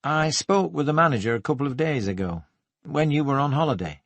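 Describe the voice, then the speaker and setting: A man's voice: on the high side, animated, brisk, fairly bright tone, dry recording. A man voicing a stuffy, formal male police detective who is easily flustered, in an audiobook. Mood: awkward.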